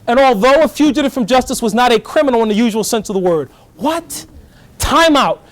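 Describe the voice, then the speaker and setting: A middle-aged man giving a lively college lecture in fast bursts, often checking whether the class is following. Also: very high-pitched, no echo. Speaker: guest lecturer, professor.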